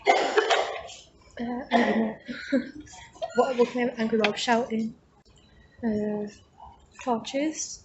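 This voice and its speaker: Higher-voiced young woman, casual, noisy recording. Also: steady tone, takes it slowly, pauses often, lo-fi sound. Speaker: student in group project. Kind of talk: casual brainstorming chat. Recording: noisy group discussion, clicks.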